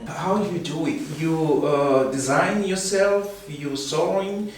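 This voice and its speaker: A man's voice: on the high side, takes it slowly. Echoey, far-off male interviewer, non-native English. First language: not English. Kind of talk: casual interview questions. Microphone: far from the microphone. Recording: very echoey, distant mic, faint noise.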